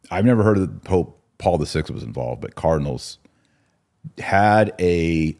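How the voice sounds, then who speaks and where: A man's voice: low-pitched, a little mellow. A man hosting a podcast, talking casually and explaining religious matters in a conversational way. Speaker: podcast host.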